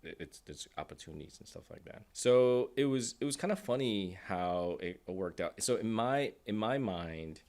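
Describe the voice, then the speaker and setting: Middle-aged man, deep voice, casual storytelling, informal podcast guest. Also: lively intonation, normal speed, hardly any pauses, a little mellow. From Taiwan and the United States.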